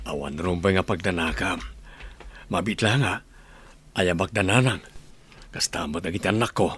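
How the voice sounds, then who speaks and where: A man playing an earnest, gentle father in an Ilocano audio drama, speaking with an Ilocano accent. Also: low-pitched, moderate pace, husky, full character voice. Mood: warm, reassuring. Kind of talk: radio drama acting.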